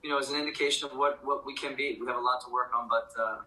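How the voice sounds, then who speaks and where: A soccer coach, a man, answering at a press conference in a halting way with many restarts. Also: mid-range voice, fairly even intonation, bright, forceful tone, echoey room, rough recording.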